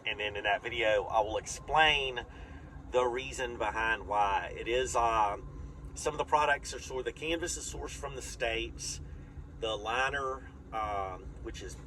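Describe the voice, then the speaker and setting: A man's voice: medium pitch, fairly bright tone, rough recording. A man chats casually and plainly in a folksy, rambling vlog style. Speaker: vlogger. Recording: clear vehicle and outdoor noise.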